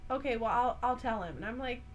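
A woman's voice: fairly high pitch, expressive, quick pace, over a noisy crowd. A woman guest telling a personal story in casual chat, rambling and full of fillers. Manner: rambling, heavy use of "like". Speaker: podcast guest.

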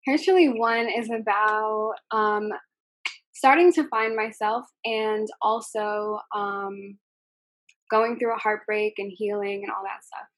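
Soft-voiced young woman, hesitant. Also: mid-range voice, fairly even intonation, takes it slowly, dry recording. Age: young adult. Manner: hesitant, many ums and likes. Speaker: singer being interviewed. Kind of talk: casual interview answers.

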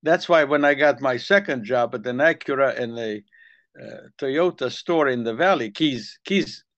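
A man's voice: mid-range voice, moderate pace, fairly bright tone, lo-fi sound. An older man with a Hungarian accent, telling long rambling stories in a casual chat. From Ukrainian Soviet Republic. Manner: rambling storyteller, repeats words when restarting.

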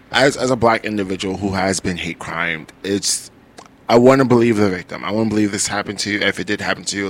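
A man who is a guest on a podcast, speaking emphatically and repeating words for effect. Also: fairly low pitch. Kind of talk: casual conversational chat.

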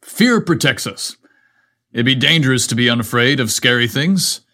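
A man's voice: medium pitch, animated, moderate pace, pushed and bright. A man voicing a brave, modest fire captain in a story, explaining things in an earnest, plainspoken way. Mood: thoughtful.

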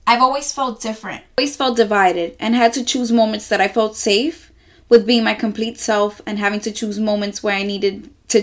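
A young woman from the Dominican Republic with a Dominican accent, reading her own journal entries aloud in a soft, reflective, earnest way. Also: on the high side. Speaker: journaling vlogger.

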